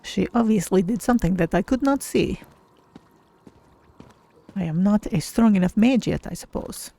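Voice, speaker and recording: A woman voicing a mild, thoughtful cat-person mage in a measured, formal way, role-playing through a game stream. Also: medium pitch, gravelly, dark tone. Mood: musing. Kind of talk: character-voiced game stream roleplay.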